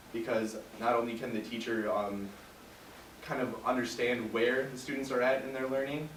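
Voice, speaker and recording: A young man giving a class presentation, explaining casually with many fillers, recorded from the back of a large classroom. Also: lowish voice. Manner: relaxed, filler-heavy explaining. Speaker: student presenter. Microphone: far camera. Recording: echoey room from far off, noisy.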